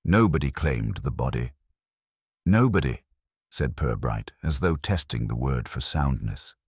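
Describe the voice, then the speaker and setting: A man narrating a literary mystery in a measured, wry manner. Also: very deep voice, expressive, gravelly, husky, no echo, studio quality.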